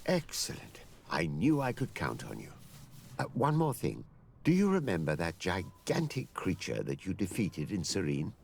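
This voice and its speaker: An actor playing a courteous older professor and mapmaker in a fantasy game, creaky-voiced, polite and wordy. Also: male voice, sits low, huge pitch swings, normal speed, a little mellow, slight background noise, full character voice. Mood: earnest, explanatory. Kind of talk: fantasy video game acting.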